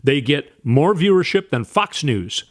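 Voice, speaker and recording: Man, political commentary host, high voice, emphatic, exasperated. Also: very animated, a little slow.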